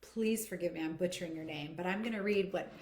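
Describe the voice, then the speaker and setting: A roomy, echoing recording of a middle-aged woman with a slightly low voice. She talks conversationally, like a coach hosting a live question session. Her delivery is reflective and explanatory, aimed straight at her listeners. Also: quick pace, a little mellow, a little hiss or hum. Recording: echoey, roomy sound.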